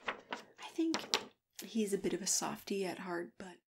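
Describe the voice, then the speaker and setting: A woman in a soft-spoken ASMR role-play, often dropping into a whisper, confiding gently with pauses and small murmurs as she chats like a matchmaker. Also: mid-range voice, big pitch swings. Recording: clear background noise with keyboard typing. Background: typing and clicking.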